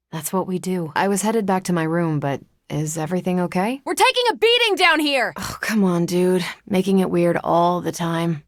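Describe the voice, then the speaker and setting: Game heroine: confident military superhero, slightly low female voice, wry and easygoing team player, recorded very dry. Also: no room sound. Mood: earnest, encouraging, then urgent, rallying. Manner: casual, wry, self-assured. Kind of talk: video game voice acting.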